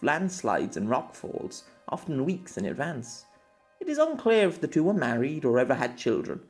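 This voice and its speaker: A man narrating a scripted story in a measured, formal storybook manner. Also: fairly high pitch, darkish tone. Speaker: audiobook narrator. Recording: music under the voice.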